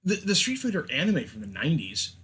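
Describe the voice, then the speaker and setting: A man's voice: a little high, huge pitch swings, quick pace, fairly bright tone, breathy edge. A man hosting a podcast in a loose, casual chatting style. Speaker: podcast host. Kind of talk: casual chat.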